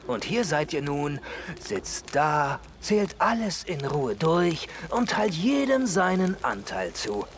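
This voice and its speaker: An actor playing a bold, mocking outlaw soldier with a sense of honour in a theatrical radio drama. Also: male voice, a little high, a little hiss or hum. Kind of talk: German radio drama acting.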